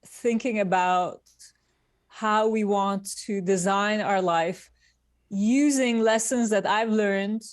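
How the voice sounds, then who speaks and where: A woman from Turkey, speaking as an interview guest in unhurried, deliberate phrases. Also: medium pitch, steady tone, no room sound, clean studio sound. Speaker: guest, industrial designer. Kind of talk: conversational interview answers.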